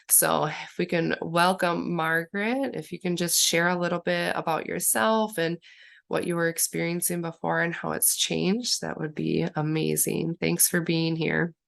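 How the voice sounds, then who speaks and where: A woman with a cold introduces her guest, her voice low, gravelly and flat in pitch, yet smooth in tone. She speaks slowly with a bubbly, enthusiastic warmth. The cold leaves her voice sounding worn. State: has a cold, losing her voice. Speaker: host. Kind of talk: casual video introduction.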